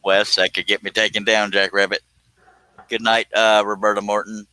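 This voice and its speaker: A man's voice: fairly low pitch, flat delivery, moderate pace, pushed and bright, clean tone, poor audio. A man in his fifties hosting a casual live stream, chatting in a folksy, joking, teasing way. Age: 50s.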